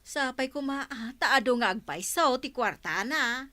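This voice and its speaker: A woman's voice: high voice, expressive, a little slow, bright, forceful tone, no room sound, heavily acted. A woman with an Ilocano accent playing a gossipy village neighbor in a comedy radio drama. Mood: chatty.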